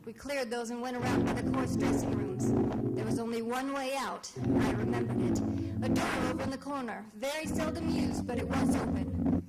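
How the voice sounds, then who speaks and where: A plucky lady detective from vintage radio drama. Her voice sits fairly high and tells the case crisply and dramatically, with loud music behind her. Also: animated, normal speed, low-quality recording. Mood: urgent, suspenseful. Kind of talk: old-time radio mystery acting and narration.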